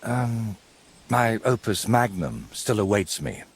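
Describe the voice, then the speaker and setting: Husky middle-aged male painter for a medieval game: courteous, wry, florid, very animated intonation. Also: on the low side, takes it slowly, exaggerated voice. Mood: proud, musing. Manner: theatrical, wordy, courteous. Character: wry, wordy ageing medieval painter, robbed but polite. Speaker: video game character. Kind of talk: game cutscene dialogue.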